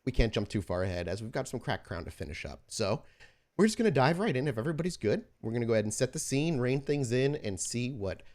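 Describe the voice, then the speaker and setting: A man's voice: mid-range voice, sing-song, fast talker, darkish tone. A man acting as host and storyteller of a tabletop horror game podcast.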